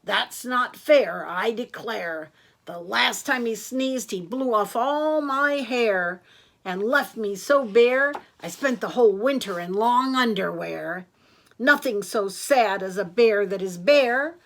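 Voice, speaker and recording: A woman reading a put-upon storybook bear, huffy and indignant, drawing out each grumble in a slightly lowered, leisurely voice. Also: expressive, takes it slowly, fairly bright tone, exaggerated voice. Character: indignant bald bear complaining.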